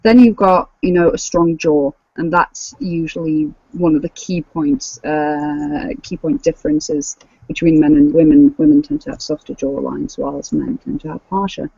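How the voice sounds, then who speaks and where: A woman's voice: low-pitched, fairly even intonation, moderate pace, mellow, breathy edge, no echo. A woman co-hosting a casual podcast chat, explaining practical tips. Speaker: cosplayer and podcast co-host. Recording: dull lo-fi dry audio.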